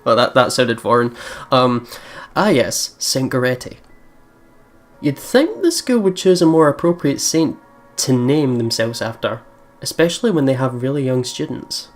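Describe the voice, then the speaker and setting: A let's play host giving casual, excitable game commentary and reading a visual novel's narration aloud. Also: medium pitch, moderate pace. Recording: game music behind commentary. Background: visual novel game music.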